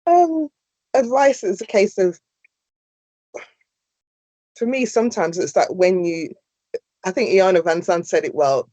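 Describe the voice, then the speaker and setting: A woman's voice: low-pitched, warm tone, no room sound. A middle-aged woman, a former councillor, giving earnest interview answers in long flowing sentences.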